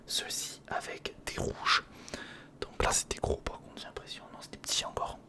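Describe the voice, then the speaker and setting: A man giving whispered ASMR commentary on a hands-on build, murmuring to himself as he thinks and chatting informally to the viewer. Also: moderate pace. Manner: murmurs to himself while thinking through steps; informal, chummy address to the viewer. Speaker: ASMR video host.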